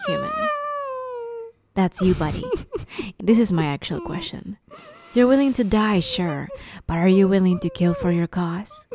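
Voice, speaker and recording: A woman voicing a playful, sadistic vampire in an ASMR roleplay, teasing her captive with mock sweetness and quiet menace. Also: on the low side. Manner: teasing, mockingly sweet, menacing, often hushed. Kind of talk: ASMR roleplay, dramatic monologue.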